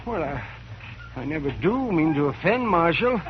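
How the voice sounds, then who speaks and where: An actor playing a fussy, wry old frontier doctor in a 1950s radio drama. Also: male voice, a little high, sing-song, moderate pace. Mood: flustered. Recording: dull old radio recording.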